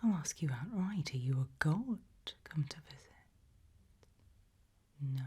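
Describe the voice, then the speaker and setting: A British woman playing a composed, probing, ominous interrogator in a whispered, breathy ASMR roleplay, questioning deliberately. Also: deep voice, animated, a little mellow, full character voice. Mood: eerie, probing. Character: composed, probing female interrogator of possessed people at a sinister institute.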